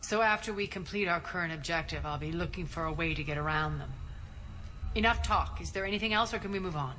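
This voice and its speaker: A woman's voice: fairly low pitch, quick pace, sharp tone, a little hiss or hum, poor audio. An actress playing a ruthless female crime boss in a video game, commanding and clipped with a cool sardonic edge. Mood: irritated, determined. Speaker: gang leader and commander. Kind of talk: video game cutscene acting.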